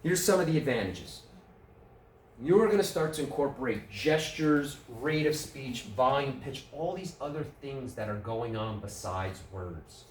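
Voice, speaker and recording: A man's voice: mid-range voice, unhurried. New York man teaching a workshop in a roomy hall. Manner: relaxed, questions the audience. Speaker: workshop presenter. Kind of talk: conversational speaking workshop. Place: club meeting room. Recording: roomy, distant mic, faint room noise.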